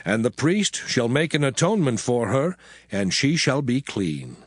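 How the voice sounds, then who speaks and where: A man voicing the Lord giving laws in a dramatized scripture reading, deliberate and emphatic. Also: medium pitch, very animated, husky, no room sound, clean studio sound. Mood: grave.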